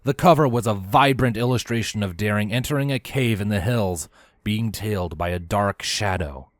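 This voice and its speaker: A man narrating a story from a script in an expressive, animated way with theatrical emphasis. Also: on the low side, moderate pace, no room sound, clean studio sound. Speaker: audiobook-style narrator of fan fiction.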